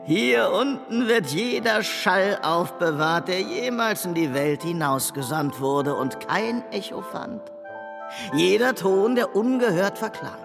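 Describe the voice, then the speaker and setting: A woman's voice: medium pitch, expressive, gravelly, music in the background. A woman playing an indulgent, mysterious guide telling children a story in a radio play. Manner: deliberate, addressing children.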